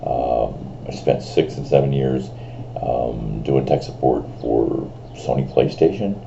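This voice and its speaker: A man in his fifties rambling through a casual vlog, full of fillers and restarts, with a voice he calls big and loud. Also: very deep voice, slow pace, creaky, lo-fi sound, slight background noise. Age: 50s. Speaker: vlogger. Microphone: camera on a screen in front of him.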